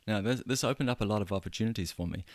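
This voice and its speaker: Deep-voiced New Zealand man, earnest and halting. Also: dry recording. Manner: earnest, self-deprecating, halting restarts. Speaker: podcast host. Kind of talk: solo podcast monologue.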